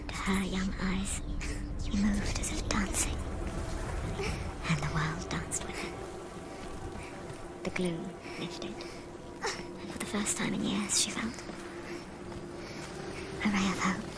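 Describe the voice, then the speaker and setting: An actress voicing an intimate, whispering narrator inside a troubled woman's head in a video game, telling the tale in a breathy, deliberate way. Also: medium pitch. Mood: hushed, wistful. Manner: hushed, slow, deliberate storytelling. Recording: loud ambient sound behind the voice. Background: loud game ambience.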